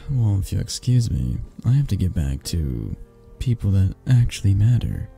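A man playing a possessive mafia boss in a romantic ASMR audio roleplay, speaking haltingly with sighs and pointed pauses in a low, breathy, husky voice. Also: big pitch swings, dark tone, soft background music, heavily acted. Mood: mocking contempt. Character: possessive, menacing young mafia boss, softening into awkward tenderness.

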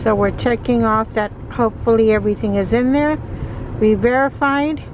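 A woman's voice: fairly high pitch, steady tone, normal speed. A middle-aged woman, chatting casually as a delivery driver vlogging from inside a car. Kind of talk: casual vlog chat. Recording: car cabin with clear background noise.

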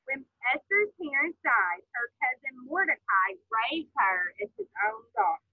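A woman in her thirties reading a story to children on a video call from home, in a lively, expressive way. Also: high-pitched, a little slow, slightly rough, dry recording. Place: home room with ceiling fan. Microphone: laptop on a video call. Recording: tinny video call audio.

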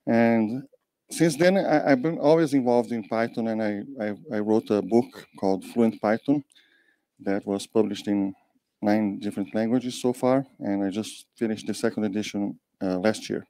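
Older man, low voice, warm tone, measured storytelling, handheld mic. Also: fairly even intonation, no echo. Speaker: guest. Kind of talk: panel conversation. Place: meeting room.

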